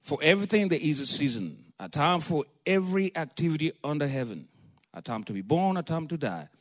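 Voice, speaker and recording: A man from Africa, a preacher giving a sermon in a lively, joking, storytelling way and calling for amens. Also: on the high side, brisk.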